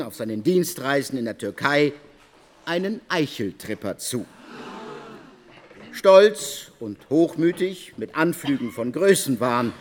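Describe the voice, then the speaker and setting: Radio drama narrator, male, slightly high voice, theatrical emphasis, long hall reverb. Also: a little hiss or hum.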